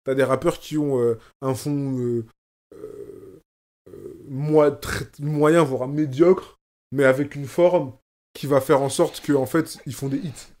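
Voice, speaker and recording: A man's voice: mid-range voice, steady tone, slow pace, darkish tone. A man from France, a rapper, talking in a casual conversation and stressing points by repeating phrases. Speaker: rapper, guest. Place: lives in Oslo.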